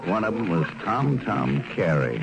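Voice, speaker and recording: An actor playing an easygoing hotel house detective in a vintage radio drama, on a noisy old recording. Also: male voice, sits low, sing-song, measured pace, gravelly, dull audio, heavily acted. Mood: matter-of-fact, obliging.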